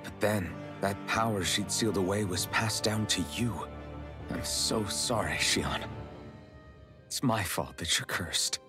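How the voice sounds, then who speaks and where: A man's voice: fairly low pitch, unhurried, music in the background, lightly acted. A man playing an earnest, resolute young hero in a fantasy video game cutscene. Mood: remorseful.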